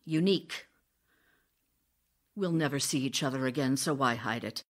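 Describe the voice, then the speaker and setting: A woman's voice: a bass voice, normal speed. A woman playing an ardent, sentimental stage actress in an audio drama, earnest and wistful as she recalls her romance. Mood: earnest, yearning. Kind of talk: theatrical audio drama, narrated memories and love letters.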